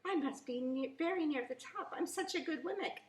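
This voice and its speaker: A woman's voice: sits high, a little fast, voice pushed up, lightly acted. A woman voicing a small, earnest wooden toy man in a children's storybook. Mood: eager, boastful. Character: small wooden toy man, eager, proud, easily embarrassed. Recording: echoey room.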